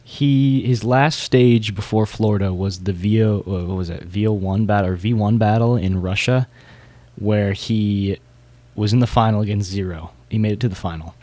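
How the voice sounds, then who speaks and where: Low-voiced man, casual podcast co-host. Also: moderate pace, dark tone, dry recording. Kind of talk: casual podcast discussion, hobbyist commentary.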